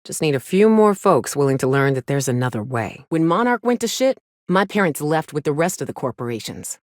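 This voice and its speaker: A gruff, jaded female mercenary from a space frontier video game. Her voice sits on the low side and swings expressively as she talks. She is blunt, sardonic and defiant, and the recording is dry and close. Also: sing-song, fairly quick, darkish tone, no room sound. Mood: grim, reflective. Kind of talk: video game character dialogue.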